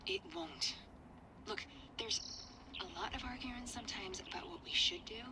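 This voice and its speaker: A woman's voice: fairly high pitch, very animated, normal speed, noisy background. A woman playing a motherly, protective adult minding children in an audio drama, firm and reassuring.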